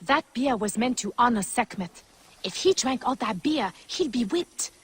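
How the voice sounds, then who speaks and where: A troubled townswoman with a breathy, slightly high voice. She is polite and earnest, as in a historical game. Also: animated, moderate pace, no echo. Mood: concerned. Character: worried townswoman pleading with a protector for help. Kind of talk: video game character acting.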